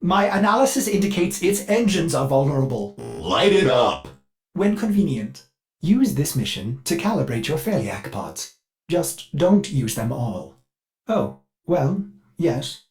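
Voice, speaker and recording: An actor voicing a devoted ship computer AI in a video game, fussy, polite and eager with violent outbursts, through glitchy synthetic processing. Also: male voice, fairly high pitch, big pitch swings, a little slow, faint music behind. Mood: alarmed, then violent, glitching, then helpful, devoted. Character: fussy, devoted ship computer AI, male, with flashes of bloodthirsty rage. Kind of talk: scripted video game character lines.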